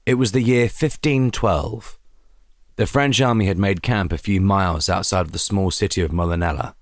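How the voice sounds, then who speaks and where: A man reading a scripted historical story as a narrator, in a measured, deliberate, theatrical way. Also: low-pitched, no echo, studio quality. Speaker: audio drama narrator.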